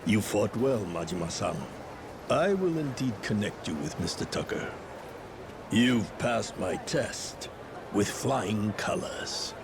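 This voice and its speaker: An actor playing a courtly, self-assured old martial artist turned lawyer who speaks in formal, polite, wordy phrases. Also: male voice, lowish voice, very animated, slow, noisy background, exaggerated voice. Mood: approving.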